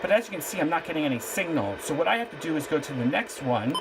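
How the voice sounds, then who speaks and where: A man from Pennsylvania hosting a casual how-to video, giving relaxed, plainspoken step-by-step instructions. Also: fairly high pitch, fast, noisy background. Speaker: product reviewer and tutorial host.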